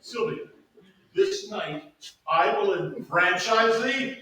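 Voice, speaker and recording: A man playing an aging, scheming duke and exasperated father in a staged Shakespeare reading, with a theatrical, halting, drawn-out delivery. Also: sits high, expressive, crowd noise. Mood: triumphant discovery. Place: staged reading in a large echoey room. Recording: echoey hall from far off, faint noise.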